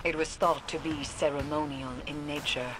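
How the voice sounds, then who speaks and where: An actor playing a grave male mission giver in a video game, speaking in a measured, ominous way. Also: a little high, level tone, natural voice. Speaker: game character briefing the player. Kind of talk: scripted game dialogue. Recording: game audio with faint ambient noise.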